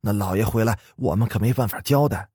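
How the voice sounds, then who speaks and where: A man's voice: lowish voice, quick pace, mellow, breathy edge, dry recording, clean studio sound. Man acting a calculating household steward. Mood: placating. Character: male household steward, placating yet callous.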